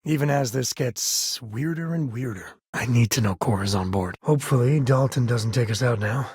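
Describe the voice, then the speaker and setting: A man voicing a rugged, good-hearted space explorer and father in a video game, with a husky rasp and a folksy, earnest way of talking. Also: mid-range voice, normal speed, a little mellow, no echo, studio quality. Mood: steady, earnest. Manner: folksy, colloquial, earnest delivery.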